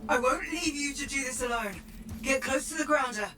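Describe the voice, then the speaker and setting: An actress playing a devoted young support guide in a video game, speaking earnestly and with steady urgency. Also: female voice, sits high, brisk. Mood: devoted resolve.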